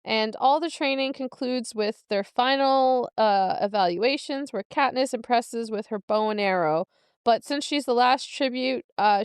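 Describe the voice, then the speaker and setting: Giggly woman podcast host, high smooth voice, dry close sound, chatting casually. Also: dry recording. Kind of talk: casual book-podcast chat.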